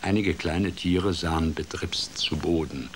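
Deep-voiced, slow man telling a story. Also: steady tone, slight background noise. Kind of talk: dramatic children's storybook narration.

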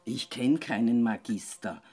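An actress with an Austrian accent playing a curt, unimpressed country innkeeper in a radio drama. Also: female voice, rumbling low, unhurried, mellow.

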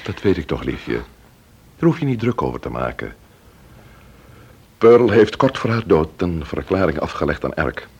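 An actor playing a composed male investigator in a radio drama, authoritative yet tender, with a husky voice. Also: sits low, sing-song, moderate pace, pauses often, a little mellow, lo-fi sound, full character voice. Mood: gentle, grave.

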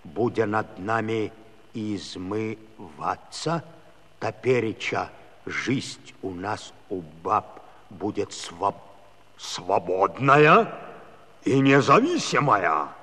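An actor playing a gruff, sardonic Cossack husband in a comic radio play, scoffing and grunting between phrases. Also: male voice, fairly low pitch, animated, extremely slow, bright, forceful tone, exaggerated voice. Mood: mocking reading aloud. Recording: reverberant hall-like studio recording.

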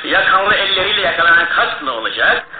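An actor in a radio play as a smug, scornful gentleman suspected of murder, speaking in a mocking, incredulous manner. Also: high voice, very animated, fast, echoey room, narrow, tinny audio, over a noisy crowd. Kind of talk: radio theatre acting.

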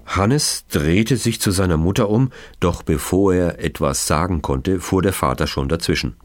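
A man's voice: deep voice, moderate pace, dry recording. A man reading a children's novel aloud as dramatic storybook narration. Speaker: audiobook reader.

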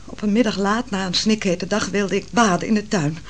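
A woman's voice: medium pitch, steady tone, fairly quick, lightly acted. A woman acting an anguished, virtuous wife in a Dutch radio play, telling her story in a solemn, dramatic manner. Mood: distressed, recounting. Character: Susanna, anguished virtuous wife of a royal counsellor.

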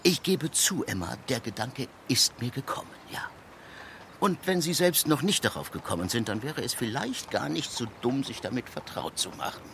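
An actor playing a blunt, irritable middle-aged gentleman with curt, sardonic speech. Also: medium pitch, animated, moderate pace, gravelly, slight background noise. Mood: dry. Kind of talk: radio play acting.

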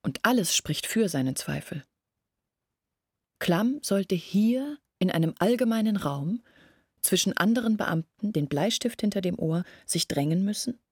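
An actress playing an anxious, reflective village woman in a literary radio play, earnest and deliberate, with a husky, breathy voice. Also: medium pitch, expressive, no echo, studio quality. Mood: reflective, sceptical. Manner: earnest, deliberate, searching, questioning herself. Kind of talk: literary radio play acting, long monologues. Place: dry studio.

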